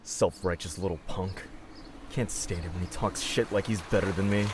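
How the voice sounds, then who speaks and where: A man's voice: sits low, fairly even intonation, normal speed, music in the background, close to an everyday voice. A man acting a cynical, protective and hot-tempered young older brother in an audio drama. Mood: irritated.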